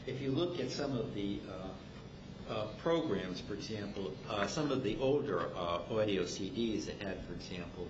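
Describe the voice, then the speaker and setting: An older man in an informal group discussion, speaking in a halting, wordy, repetitive way. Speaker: club member. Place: club meeting room. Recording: muffled recording with noisy rumble.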